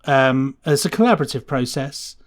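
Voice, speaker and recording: A British man speaks as a guest on a casual podcast. His pitch sits in the middle of the male range. He explains a technical working process in a conversational way, often acting out short quotes to make a point. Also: lively intonation, moderate pace. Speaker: guest sound designer being interviewed.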